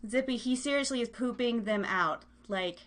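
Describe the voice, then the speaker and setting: A woman with a high voice hosts a live internet radio show. She chats casually and talks directly to listeners, hopping from one thing to the next in a scattered way. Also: moderate pace, fairly bright tone. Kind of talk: casual live-stream chat. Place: indoors at home.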